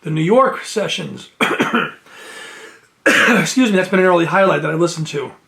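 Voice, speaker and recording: A man's voice: high voice, lively intonation, moderate pace, creaky, sharp tone. A man casually chatting through an unboxing of a music box set, reading out liner notes with enthusiasm and sniffling from allergies. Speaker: music collector and video host. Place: indoors at home near his shelves.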